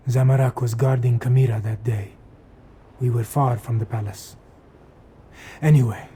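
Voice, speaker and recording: An actor voicing a solemn, dutiful cat-folk warrior-monk and royal bodyguard in a video game, with a grave delivery. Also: male voice, mid-range voice, warm tone, a little hiss or hum, natural voice. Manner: grave, speaks of himself in third person. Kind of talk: video game dialogue acting.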